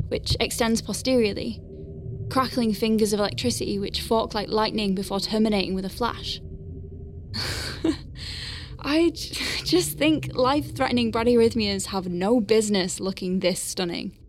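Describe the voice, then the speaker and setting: A woman playing a flustered but awestruck space medic in an audio drama, chatty, nervy and expressive as she talks through her work. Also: fairly high pitch, natural voice. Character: young female space medic Faye, flustered but awestruck, working inside star whale. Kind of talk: audio drama acting with first-person narration.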